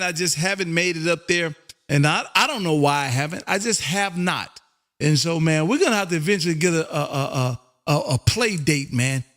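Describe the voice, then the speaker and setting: High-pitched, folksy American man on ham radio. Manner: loud, folksy, chummy, says "man" constantly. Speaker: amateur radio operator and livestream host. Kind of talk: casual ham radio contact chat.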